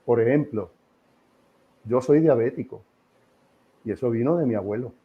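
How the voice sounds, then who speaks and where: A man's voice: medium pitch, normal speed, narrow, tinny audio. A middle-aged or older man with a Puerto Rican accent, speaking informally as an interview guest in an emphatic, didactic way, with repeated phrases and rhetorical questions. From Puerto Rico. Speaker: interview guest, association president. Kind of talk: opinionated informal interview on history.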